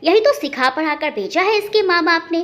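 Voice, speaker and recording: Woman doing a high, scolding older mother-in-law. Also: expressive, brisk, a bit bright. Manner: scolding, accusatory. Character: venom-tongued, accusing older mother-in-law.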